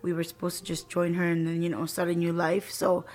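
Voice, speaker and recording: A woman's voice: lowish voice, monotone, a little fast, a little mellow. A woman in her twenties from the Philippines, chatting informally as a podcast guest and telling her own story in youthful, slangy phrasing. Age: 20s. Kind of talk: casual chat, telling personal story.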